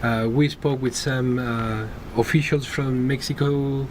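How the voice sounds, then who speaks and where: Argentine guy, spanish native, talks eager w/ lots of uhs and little laughs, average pitch, slight hiss. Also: steady tone. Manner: enthusiastic, chuckles often, halting with fillers. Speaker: guest, business owner. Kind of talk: casual interview chat.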